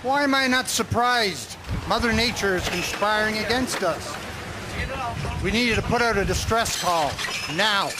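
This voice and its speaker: A man playing a grave, decisive trawler skipper in an audio drama, speaking earnestly and deliberately. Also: high-pitched. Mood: urgent, grave. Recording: loud storm and engine noise behind.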